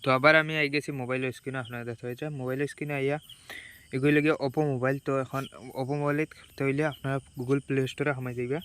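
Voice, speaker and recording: The Sylheti man on a small how-to channel, rattling through tap-by-tap instructions in an even, unvarying voice. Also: medium pitch, rapid-fire, slight background noise. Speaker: tech vlogger giving a phone tutorial. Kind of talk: casual step-by-step tutorial.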